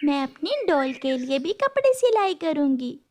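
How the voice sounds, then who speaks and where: A woman's voice: high voice, sing-song, a little slow. A woman voicing a sweet, eager young girl sparrow in an Urdu cartoon, girlish and earnest.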